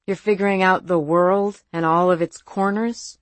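A woman voicing a concerned young woman in an audiobook, earnest, coaxing and gently persistent. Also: lowish voice, steady tone, normal speed, dry recording, natural voice. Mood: hurt, challenging. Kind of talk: audiobook character voice.

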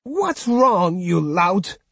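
A man reading an audiobook, voicing a spiteful, shrill old woman who taunts and scolds. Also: very animated, takes it slowly, a little mellow, husky, dry recording, exaggerated voice. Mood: jeering. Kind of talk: audiobook character voice.

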